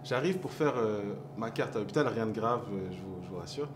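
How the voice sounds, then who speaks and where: A man's voice: fairly low pitch, expressive, fairly quick, noisy background. A man from Montreal, talking in a casual interview and searching for words as he reflects. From Montreal, Quebec. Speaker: hip-hop artist guest. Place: café.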